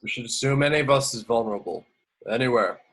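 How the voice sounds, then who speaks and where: A man playing a serious, cautious superhero strategist in a script table read, speaking deliberately. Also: medium pitch, a bit bright, natural voice, low-quality recording. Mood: wary.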